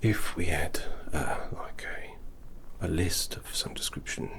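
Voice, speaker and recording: A man's voice: low-pitched, measured pace, creaky. A man whispering as a chatty, muddled Victorian graverobber in an ASMR roleplay. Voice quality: soft whisper. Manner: rambling, muddled and chatty, loses count. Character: bumbling Victorian graverobber tending a corpse.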